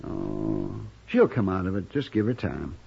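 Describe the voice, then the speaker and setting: Crusty American frontier doc, gravelly and swooping, opinionated, in muffled old radio western. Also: male voice, on the low side, lively intonation, measured pace, mellow, heavily acted. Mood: earnest, knowing. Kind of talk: 1950s radio western acting. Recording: noisy, muffled vintage radio recording.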